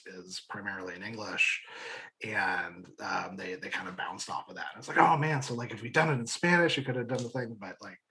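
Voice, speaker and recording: A man's voice: fairly low pitch, fairly bright tone, rough recording. A man chatting casually on a panel as a podcast creator, hesitant and repeating his words as he rambles along. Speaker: audio drama podcast creator.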